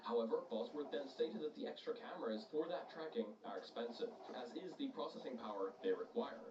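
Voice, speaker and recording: Slightly sick man, distant echoey recording. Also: medium pitch. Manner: chatty, informal asides to viewers. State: a little sick. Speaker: VR news host. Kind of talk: casual news roundup with commentary. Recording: distant, echoey, dull, noisy playback.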